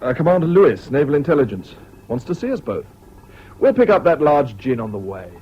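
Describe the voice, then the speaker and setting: An actor playing a sober British army major who also narrates a 1970s radio drama, frank and dry in manner. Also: male voice, a little high, sing-song, a little fast, husky. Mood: dry, amiable. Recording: dull, noisy old radio recording.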